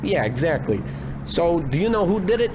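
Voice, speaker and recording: A man playing a bumbling, self-important private detective in a comic 1940s-style radio noir, blustering and deadpan. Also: fairly high pitch, normal speed, noisy background. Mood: impatient, blustering.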